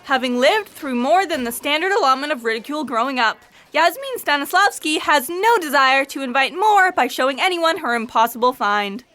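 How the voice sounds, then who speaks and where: An actress playing an eager, flustered female assistant in sci-fi audio theatre, excitable and theatrical. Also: sits high, brisk. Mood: eager, dutiful.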